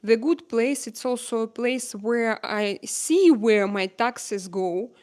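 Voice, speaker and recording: Non-native woman livestreamer, unhurried and halting, searching for words; smooth, clear tone. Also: fairly high pitch, a little mellow. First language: not English. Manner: hesitant, many filler pauses, searching for words. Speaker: livestream host chatting with viewers. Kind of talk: unscripted live chat. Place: home in Spain.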